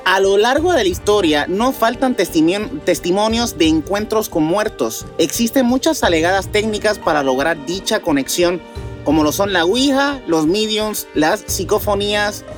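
A man's voice: high-pitched, lively intonation, moderate pace, faint music behind. A man co-hosting a paranormal news show, reading items aloud and then reflecting casually in hesitant, searching phrases.

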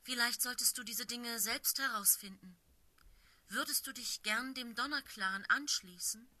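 A woman's voice: on the high side, measured pace, bright, forceful tone, voice pushed up. A woman voicing a proud, stern she-cat who leads a warrior clan, speaking in a firm, commanding way. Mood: measured.

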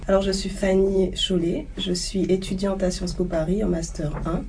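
A woman in her twenties from Guadeloupe, a student guest who speaks in a calm, even way with some fillers. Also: mid-range voice, normal speed, distant mic, a little hiss or hum. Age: 20s.

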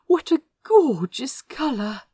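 A woman voicing a refined, gentle male prince in an audiobook story, with an effusive, genteel and exclamatory manner. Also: sits high, very animated, slow, no echo, clean studio sound, pitched above the performer's own voice, full character voice. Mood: delighted excitement. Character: Azra, refined male prince, gentle, delighted, easily awed. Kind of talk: storybook character reading.

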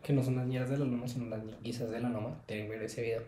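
A man in casual podcast chat, slangy and animated when telling stories. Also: medium pitch, rapid-fire. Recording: echoey room with faint noise.

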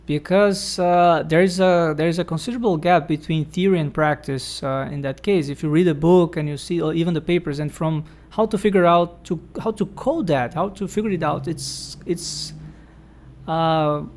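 A man's voice: on the high side, normal speed, a little mellow. A man hosting a casual academic conversation, explaining his points carefully with frequent fillers. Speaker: host and academic supervisor.

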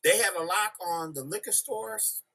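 Forceful, slightly high-voiced husky man. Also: moderate pace. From United States, Chicago. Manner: emphatic, seeks agreement with tag questions. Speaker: podcast discussion participant. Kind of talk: casual group discussion.

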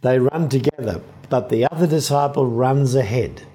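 A man speaking as a priest, giving a measured, explanatory reflection on a Gospel story. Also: mid-range voice, warm tone. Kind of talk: reflective sermon commentary on the Gospel.

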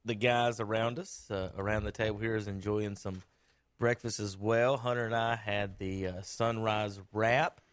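A man's voice: fairly low pitch, fairly even intonation, moderate pace, clear, resonant tone, dry recording. A man hosting a sports talk radio show in a studio, chatting loosely with lots of fillers and teasing his co-hosts. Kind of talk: casual studio banter and sponsor reads. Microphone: studio microphone with headphones.

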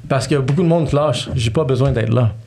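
A man in his thirties speaks with a Quebec French accent and a gravelly, creaky edge in a middle-pitched voice. He tells stories in a loose, animated way and drops English slang into his French. He sounds like a relaxed podcast guest. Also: fairly quick, a little hiss or hum. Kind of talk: casual storytelling interview.

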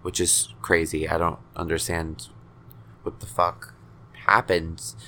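A man's voice: low-pitched, little pitch movement, slow pace, pushed and bright. A nineteen-year-old man hosting his own podcast, rambling casually with lots of swearing and self-deprecating jokes. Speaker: podcast host.

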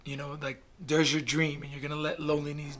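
Middle-aged man from canada, casual interview guest, blunt and animated, slightly high voice, swears a lot. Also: a little fast. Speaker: guest, basketball player.

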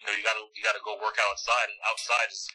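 A man's voice: fairly low pitch, monotone, very fast, bright, forceful tone, rough recording. A middle-aged man from Montreal, a former basketball player, chatting as a guest in a casual interview. He talks at length and with emphasis, often repeating words as he builds a thought. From Montreal, Canada.

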